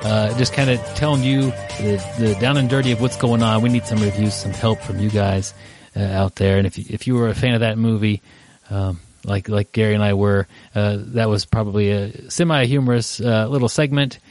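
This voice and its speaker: A man's voice: fairly low pitch, steady tone, brisk, hardly any pauses, a little mellow, faint music behind. A man hosting a podcast in a casual, rambling way, with many fillers and restarts, laughing easily. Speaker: podcast host.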